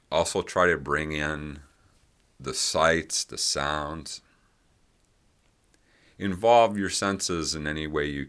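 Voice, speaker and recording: A man leading a guided meditation with gentle, unhurried instructions and long pauses between phrases. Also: extremely low pitch.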